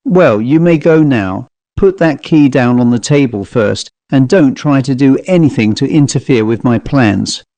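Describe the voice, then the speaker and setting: A man voicing a wary, commanding gentleman traveller who speaks concisely and challengingly in an audiobook story. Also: medium pitch, animated, measured pace, few pauses, dry recording. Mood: firm.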